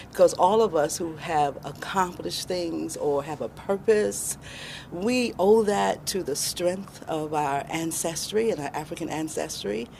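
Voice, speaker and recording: An American woman in her sixties speaks in a somewhat low voice. She tells her story as an interview guest with expressive emphasis. Ambient noise sits behind her. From born in Alabama, raised in Chicago, USA.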